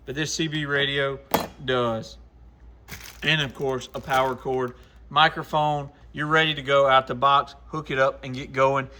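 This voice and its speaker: A man's voice: mid-range voice, sharp tone, a little hiss or hum. A man hosting an informal, plain-spoken product demonstration for a video channel, explaining things casually as a shop owner.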